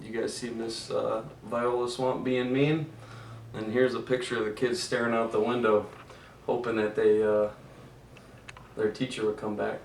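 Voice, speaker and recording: A man, a PE teacher, reading a picture book aloud to children and gently asking them questions, recorded in an echoey room away from the mic. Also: medium pitch, level tone, normal speed, creaky, distant mic, slight background noise. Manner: gentle, asks the kids questions, chuckles.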